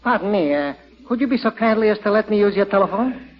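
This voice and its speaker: An actor in 1940s radio comedy playing a fussy, wheeling furniture dealer who talks in comically formal, mangled phrases. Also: male voice, sits high, expressive, quick pace. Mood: businesslike. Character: wheeling, fussy male second-hand furniture dealer, excitable and wisecracking. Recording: dull vintage radio recording.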